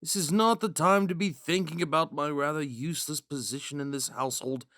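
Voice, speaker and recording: A man playing a gentle, smitten young prince in a romantic audio roleplay, musing and hesitant in a courteous way. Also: a little high, steady tone, fairly quick, no echo, clean studio sound. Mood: weary, self-mocking. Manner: musing, hesitant, courteous, with sighs and whispered asides.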